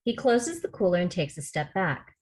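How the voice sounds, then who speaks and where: A woman's voice: lowish voice, animated, moderate pace, darkish tone. A woman reading scripted screenplay narration as the stage-direction reader on a video call.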